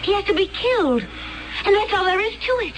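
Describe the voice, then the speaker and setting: An actress playing a cold, vicious assassin from another planet in a 1970s radio drama, intense and gloating, at times dropping to a hushed whisper. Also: female voice, very high-pitched, lively intonation, fairly quick, low-quality recording.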